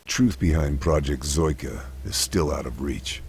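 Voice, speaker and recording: Very deep-voiced grim sniper soldier. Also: male voice, background noise. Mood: grim, methodical. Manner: measured, terse, serious. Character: grim male sniper soldier, calm, methodical, secretive. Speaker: protagonist narrator. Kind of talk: scripted game narration.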